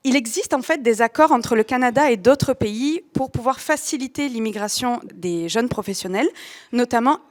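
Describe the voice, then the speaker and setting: A young woman with a French accent, its southern edges smoothed down, walks through an informative presentation. Her delivery is orderly and explanatory, with the odd hesitation, in a voice pitched a bit higher than most women's. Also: moderate pace. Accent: French, softened southern French. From Marseille, France. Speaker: workforce recruitment agent.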